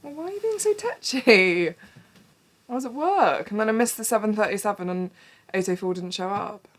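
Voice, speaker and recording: A sarcastic young woman confronts her unfaithful partner, played by an actress. She speaks at an ordinary pitch, clipped and cold. The tone is sharp, biting and unforgiving. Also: animated, normal speed, natural voice. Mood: curt. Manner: cutting, sarcastic, swearing. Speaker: drama graduate performing a scene. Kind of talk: stage scene acting.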